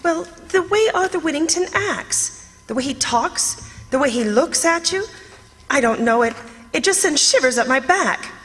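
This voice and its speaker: A woman's voice: high-pitched, lively intonation, normal speed, a bit bright. An actress playing an anxious, curious lady companion in old-time radio mystery style, with a dramatic, ladylike manner. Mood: uneasy. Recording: long hall-like reverb on the voice.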